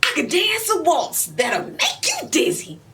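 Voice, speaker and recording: A woman in her forties playing a weary, proud, loving mother in an emotional stage monologue, speaking deliberately with heavy pauses. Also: huge pitch swings, pushed and bright, exaggerated voice. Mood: wistful, hushed. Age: 40s. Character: weary, proud middle-aged mother and widow, wounded but loving. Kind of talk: emotional stage monologue, self-taped audition. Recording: distant mic, noticeable low rumbling noise.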